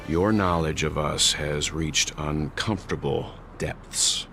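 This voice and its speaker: A guarded, authoritative spacefarer from a video game. His voice is extremely low and he speaks formally and deliberately. Music is clearly audible behind him. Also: exaggerated voice. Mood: stern, threatening. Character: stern, composed, guarded male space-traveling crew veteran.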